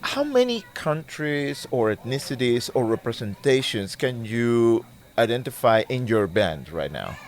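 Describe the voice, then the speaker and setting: A man working as an interviewer, putting long, deliberate questions in a conversational style. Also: medium pitch, sing-song.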